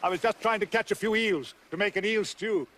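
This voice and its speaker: A man's voice: high-pitched, brisk, fairly bright tone. A man playing a gloomy, pessimistic marsh creature in an audio drama, speaking lugubriously as if expecting the worst. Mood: gloomy greeting. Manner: lugubrious, always predicting the worst.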